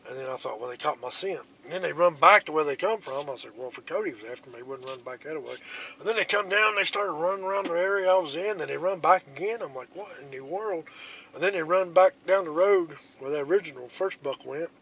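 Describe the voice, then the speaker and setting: A man, filming a hunting vlog on his phone outdoors, telling his story in an excited ramble and switching to a hushed whisper up in the tree stand. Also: a little high, quick pace, rough recording. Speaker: bowhunting vlogger. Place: outdoors in woods.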